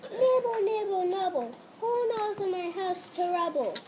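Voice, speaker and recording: A woman's voice: very high-pitched, lively intonation, unhurried, noisy background, voice pushed up. A female reader voicing a gnarled old witch woman in a storybook, bossy and wheedling, with a thin voice. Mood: eerie, calling out. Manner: commanding, wheedling.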